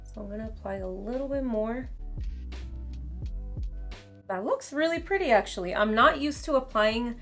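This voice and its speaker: A young woman with a slightly raspy voice, chatting casually as she tries on and reviews makeup at home. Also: on the high side, normal speed, pauses often, fairly bright tone, soft background music. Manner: chatty, casual commentary while applying makeup. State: raspy voice from talking too much. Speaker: beauty vlogger. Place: home beauty room, indoors.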